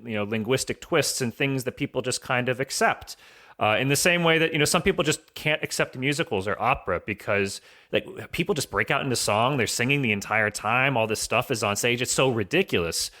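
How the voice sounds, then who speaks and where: A man's voice: medium pitch, bright, forceful tone. A man speaking as a podcast guest and audio drama creator, explaining his points casually with comparisons. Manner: explanatory, uses analogies.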